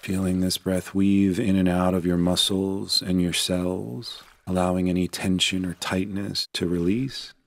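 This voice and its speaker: A man narrating a guided meditation in a gentle, unhurried, soothing manner. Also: deep voice, level tone, vocal fry.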